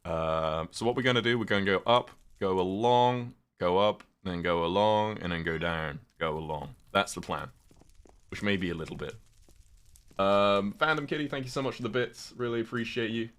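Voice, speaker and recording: A man's voice: fairly low pitch, moderate pace, a bit bright, clear, resonant tone. A young British man chatting casually with his stream chat while playing a game, rambling with lots of fillers. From Britain.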